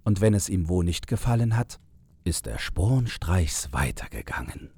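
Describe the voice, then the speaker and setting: Casting: male fairy-tale narrator, very low register, deliberate scripted storytelling at a slow pace. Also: sing-song, dark tone, breathy edge.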